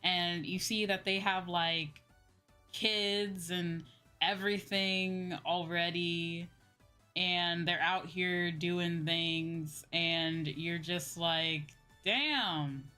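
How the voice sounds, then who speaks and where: A young adult woman in a casual livestream chat, talking as a streamer to viewers in an animated, exclamatory way with acted-out asides. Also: on the low side, very slow. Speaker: VTuber streamer chatting with viewers. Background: background music.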